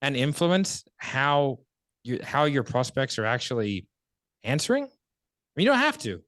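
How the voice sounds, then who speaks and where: Male co-trainer, expressive delivery, dry close mic, models pauses. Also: medium pitch, no room sound. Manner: demonstrates tonality with drawn-out pauses and falling tone. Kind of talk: live sales training seminar.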